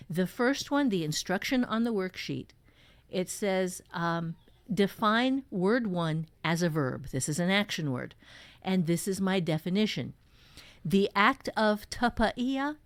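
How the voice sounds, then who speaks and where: A woman teaching a workshop in a conversational, enthusiastic way, explaining step by step with emphatic, expressive delivery. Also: mid-range voice, dry recording. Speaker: writing teacher and workshop host.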